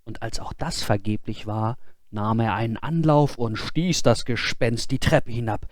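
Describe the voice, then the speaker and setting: A man reads a fairy tale as storyteller, at a slow, deliberate pace. His mid-pitched voice is expressive and sits in a dry acoustic with no room sound. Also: no room sound. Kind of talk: scripted storybook narration.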